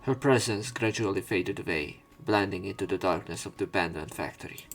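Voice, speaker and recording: A man with a fairly low voice hosts a let's play of a visual novel. He reads the story text aloud and mixes in his own casual, unpolished commentary. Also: brisk, vocal fry, faint music behind. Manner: informal, swearing in reactions. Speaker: let's player.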